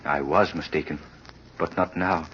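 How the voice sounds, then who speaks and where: An actor playing an earnest, grateful young husband, speaking emphatically in vintage radio drama style. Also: male voice, a bass voice, fairly even intonation, a little slow, a bit bright. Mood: urgent, grateful. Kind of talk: 1930s radio drama acting. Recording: dull, noisy vintage radio recording.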